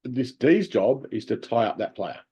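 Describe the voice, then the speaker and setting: A man coaching amateur hockey players over a call, talking through game footage in an animated way and laughing often. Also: mid-range voice, moderate pace, slightly rough. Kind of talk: video review of game footage. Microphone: video call.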